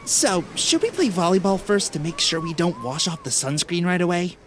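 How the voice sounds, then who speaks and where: Awkward, sweet young man, acted by a woman, hesitant and animated, for an audio drama. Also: fairly low pitch, normal speed, slight background noise. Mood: casual. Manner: stammering, hesitant delivery.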